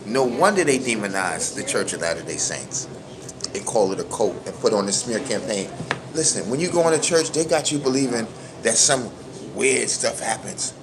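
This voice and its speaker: A man's voice: medium pitch, normal speed, poor audio. Brooklyn guy, middle-aged, going off on a livestream with lots of street slang, crowd noise behind him. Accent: New York, Brooklyn. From New York. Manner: emphatic, preachy, keeps asking "you understand me?"; street slang, animated storytelling. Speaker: livestream host. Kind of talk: casual livestream monologue.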